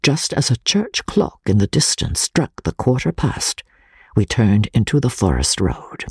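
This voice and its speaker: Female literary narrator; deep, very raspy voice, theatrical delivery with sweeping intonation, dry close sound. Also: unhurried, no echo. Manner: theatrical, expressive. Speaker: audiobook narrator, podcast host.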